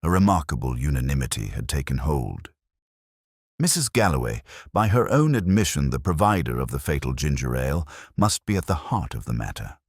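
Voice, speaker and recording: A man narrating a cozy mystery in a wry, unhurried storytelling manner. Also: rumbling low, very animated, breathy edge, no echo, clean studio sound.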